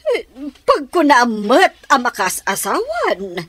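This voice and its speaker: A woman's voice: sits high, wildly swooping pitch, slow pace, a bit bright, full character voice. A woman playing a scheming, coaxing mother in a theatrical Ilocano radio drama. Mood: coaxing, hesitant. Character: scheming mother asking a man for money.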